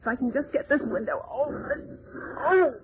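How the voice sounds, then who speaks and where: A woman's voice: high voice, expressive, moderate pace. An actress playing a brave, indignant captive young woman in an old radio serial, with earnest, dramatic diction. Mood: anxious. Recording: muffled, noisy old radio recording.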